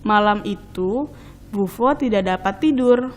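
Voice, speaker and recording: A woman reading a children's storybook as narrator, in expressive, deliberate, measured phrases. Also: mid-range voice.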